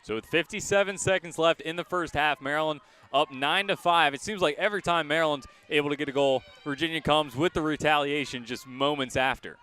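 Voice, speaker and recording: A male radio sports announcer with a slightly high, very clean voice calls a game live from outdoors. His delivery comes in crisp, short phrases that follow the action, with a bright, forceful edge. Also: brisk. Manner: crisp present-tense calling in short phrases. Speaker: radio play-by-play announcer for college lacrosse. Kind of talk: live sports play-by-play commentary.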